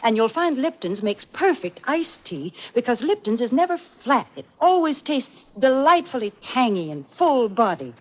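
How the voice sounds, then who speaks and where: A woman's voice: a little high, very animated, moderate pace, few pauses. A woman playing a cheerful American sponsor's spokeswoman, selling in chipper 1940s radio advertising style. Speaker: commercial spokeswoman. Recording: muffled vintage radio recording.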